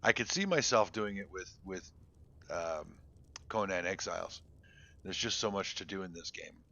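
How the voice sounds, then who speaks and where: Guy streaming a game, low voice, rambly chat with viewers, muffled lo-fi mic. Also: animated, moderate pace, sharp tone. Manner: rambling, talks to chat while playing, drops into mutters. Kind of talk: casual live-stream chat. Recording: dull lo-fi stream audio.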